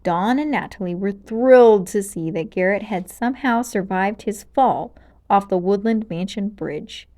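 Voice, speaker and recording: A woman narrating a children's storybook aloud in an expressive, dramatic and clearly paced way. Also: mid-range voice, measured pace.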